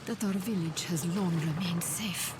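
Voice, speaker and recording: An actress playing a devout, reverent villager of a swamp cult in solemn video game dialogue. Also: female voice, fairly low pitch, measured pace, lightly acted. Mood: reverent, ominous. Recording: loud noise under the voice.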